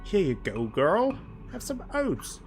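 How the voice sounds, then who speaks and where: A man's voice: huge pitch swings, takes it slowly. Playful man reading game text over music. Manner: playful, reads narration with exaggerated pitch swings. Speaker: gameplay streamer. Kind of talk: let's play commentary, reading game text aloud. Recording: music playing underneath.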